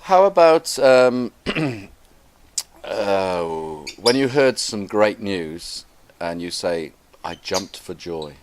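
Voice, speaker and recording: A man's voice: on the low side, expressive, very slow, a little hiss or hum. A man hosting a casual English lesson as the teacher, prompting with questions and clues.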